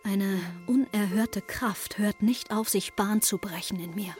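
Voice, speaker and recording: Actress as introspective young woman over soft music. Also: medium pitch, measured pace, close to an everyday voice. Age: 20s. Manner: intimate, reflective inner monologue. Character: introspective, restless young Berlin woman in her twenties. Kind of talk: radio drama first-person narration.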